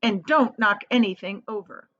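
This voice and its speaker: A woman reading aloud as an excited, proud, bossy male inventor in a storybook, with emphatic, exclamatory delivery. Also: mid-range voice, moderate pace, dull audio. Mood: stern, commanding.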